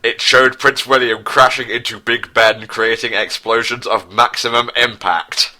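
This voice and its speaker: A man giving a mock-dramatic story reading as narrator, pompous, deliberate and theatrical. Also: medium pitch, steady tone, pushed and bright, poor audio. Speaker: narrator, co-host.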